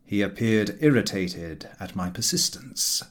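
A man reading a comic literary story aloud as an audiobook narrator, in an expressive storytelling manner. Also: fairly low pitch, measured pace. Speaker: audiobook reader.

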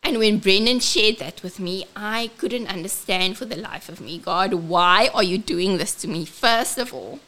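A South African woman with a squeaky, childlike voice, speaking earnestly as a ministry host and switching between English and Afrikaans. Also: a little slow, hardly any pauses, pushed and bright. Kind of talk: personal testimony and event announcement.

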